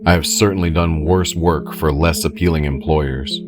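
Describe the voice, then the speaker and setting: A woman playing a sardonic male schemer in a supernatural audio drama, speaking low and steady with a dry, wry manner. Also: moderate pace, over music.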